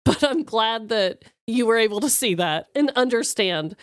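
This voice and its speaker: A woman's voice: on the high side, expressive, normal speed, clean tone, no echo, studio quality. A woman co-hosting a podcast, telling stories in casual, candid chat and swearing freely. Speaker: podcast co-host.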